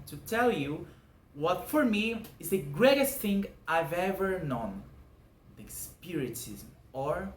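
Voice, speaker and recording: A young Brazilian man with a clear Brazilian Portuguese accent talks through a scripted explanation, earnest and measured. His pitch moves a lot, with lively rises and falls. He sounds roomy and echoey, with a faint hum of background noise. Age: 20s. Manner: earnest, measured, lists points one by one. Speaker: channel host explaining a doctrine.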